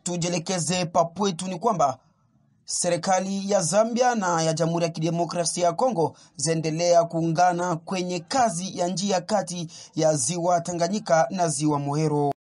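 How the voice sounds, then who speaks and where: A man reading a news bulletin as anchor in an even, formal way. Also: sits high, moderate pace.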